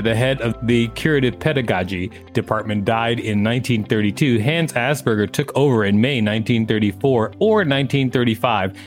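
A man reads an article aloud as a podcast co-host, his pitch in an ordinary middle range. He breaks off now and then for casual, joking asides. Faint music sits under his voice.

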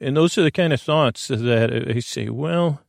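A man hosting a bedtime podcast in a creaky, soothing voice, meandering haltingly through a rambling monologue and trailing off into tangents. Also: mid-range voice, no room sound, clean studio sound. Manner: lulling, soothing. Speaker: host of a bedtime sleep podcast.

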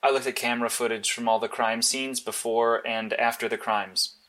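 A man's voice: mid-range voice, fairly even intonation, normal speed, a bit bright, close to an everyday voice. Man playing a blunt, analytical vigilante mastermind. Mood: matter-of-fact. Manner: matter-of-fact, clipped, insistent. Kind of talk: naturalistic audio drama dialogue.

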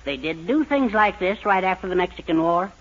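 Husky, animated small-town adviser. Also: male voice, high-pitched, a little fast. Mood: cautious, explaining. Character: earnest, urgent male small-town adviser. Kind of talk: 1940s radio situation comedy acting. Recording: dull 1940s radio recording.

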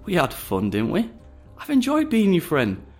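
A man's voice: high-pitched, huge pitch swings, moderate pace. A man playing a smug young undercover agent, a coolly calculating psychopath with a detached, condescending manner, in an audio drama. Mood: coaxing. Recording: music underneath.

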